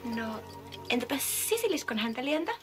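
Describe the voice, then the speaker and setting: A woman playing a friendly, gentle elf in a children's fantasy film, speaking in an earnest, coaxing way. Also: sits high, big pitch swings, over music. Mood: coaxing, persistent.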